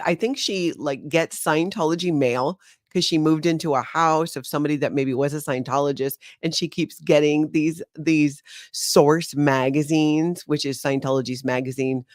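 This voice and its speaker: A woman's voice: low voice, dry recording, studio quality. Chatty middle-aged woman livestream host. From Hawaii. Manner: chatty, animated, incredulous asides. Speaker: channel host. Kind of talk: casual livestream commentary.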